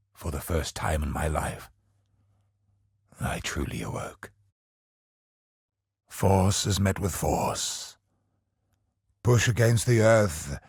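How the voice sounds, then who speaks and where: An actor playing a tormented, godlike older scholar and father in a video game, speaking in a gravelly, breathy voice with solemn, theatrical deliberation. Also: low-pitched, dry recording, studio quality, heavily acted. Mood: awed, solemn. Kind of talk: dramatic scripted game monologue.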